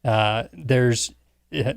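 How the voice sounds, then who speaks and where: A man co-hosting a casual film discussion, piecing his thoughts together with pauses. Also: medium pitch, gravelly, no room sound, studio quality. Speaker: podcast co-host.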